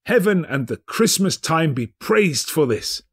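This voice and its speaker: A man voicing a giddy, overjoyed old miser reformed overnight, excitable, breathless and full of exclamations, in a storybook reading. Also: a little high, measured pace, husky, voice pushed up, heavily acted. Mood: fervent joy.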